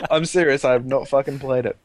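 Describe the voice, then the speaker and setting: A young man on a gaming podcast who talks deadpan, often stammering over his first words and swearing. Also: mid-range voice, a little hiss or hum. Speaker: podcast cohost. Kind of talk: casual gaming podcast chat.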